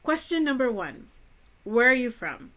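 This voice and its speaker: An American woman from the Chicago suburbs who hosts an English-teaching vlog, speaking in a clear, deliberate teacher's manner with playful asides. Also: on the high side, sing-song, pushed and bright, rough recording. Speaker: English teacher, channel host. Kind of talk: scripted educational vlog.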